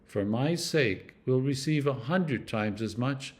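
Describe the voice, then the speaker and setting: A clergyman reading scripture for a meditation series, in measured phrases with emphatic stresses. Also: mid-range voice, lively intonation.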